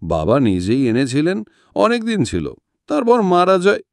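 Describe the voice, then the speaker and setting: A man voicing a genial, courteous gentleman of an old household in an audiobook, polite and obliging in manner. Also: on the high side, wildly swooping pitch, a little slow, creaky, dry recording, clean studio sound, voice pushed up, full character voice. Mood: genial, explanatory.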